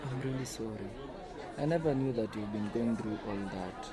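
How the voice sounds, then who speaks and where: A man's voice: lowish voice, normal speed, a crowd in the background, lightly acted. A man playing an earnest, smitten employee in an amateur radio drama. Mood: sympathetic. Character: earnest smitten male employee comforting his boss.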